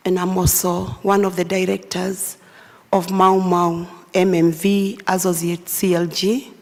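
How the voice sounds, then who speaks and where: A hoarse woman, a bishop formally presenting herself and her submission at a hearing, soft and courteous. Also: on the low side. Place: large hearing room. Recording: long hall reverb.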